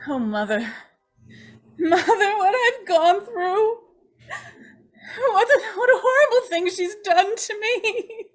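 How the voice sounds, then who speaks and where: A woman playing a tormented, fragile young prisoner in an old-time radio drama, halting and repeating herself in anguish. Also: extremely high pitch, expressive, soft background music, exaggerated voice. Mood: weary grief. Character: tormented young condemned woman, haunted and fragile, confessing to Mother.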